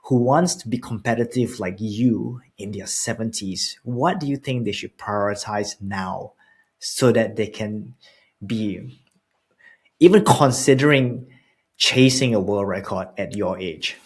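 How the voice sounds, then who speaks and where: A man's voice: on the low side, measured pace. A man in his forties hosting an interview, asking questions with frequent restarts and repeated words. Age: 40s. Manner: hesitant, repeats words when starting. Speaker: podcast host.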